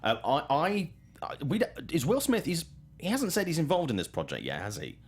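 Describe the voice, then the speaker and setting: A man's voice: a little high, wildly swooping pitch, a little fast, slightly rough. A man hosting a talk show, giving conversational film commentary and thinking aloud as he goes.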